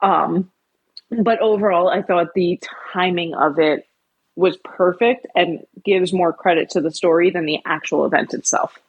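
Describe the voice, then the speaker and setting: A woman with a fairly low, husky voice co-hosting a podcast. She lays out her views in a measured, analytical way. The recording sounds dull and lo-fi. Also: steady tone. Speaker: podcast co-host. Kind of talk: casual discussion.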